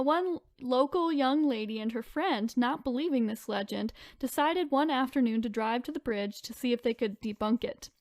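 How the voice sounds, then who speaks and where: A woman's voice: a little high, a little mellow, no room sound, studio quality. A woman hosting a podcast, chatting informally and reading spooky stories aloud with casual asides. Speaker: podcast host.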